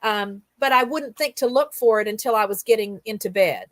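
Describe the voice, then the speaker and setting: A woman's voice: mid-range voice, fairly quick, no echo. A middle-aged or older woman hosting a casual livestream chat, talking in an enthusiastic, rambling storytelling way. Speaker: host of a livestream roundup show.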